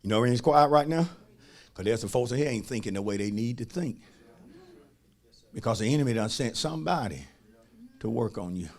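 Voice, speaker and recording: An American man preaching a fervent sermon as a pastor, raspy and gravelly, folksy and emphatic, repeating phrases and swinging from hushed asides to shouting. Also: mid-range voice, lively intonation, a little fast, long pauses.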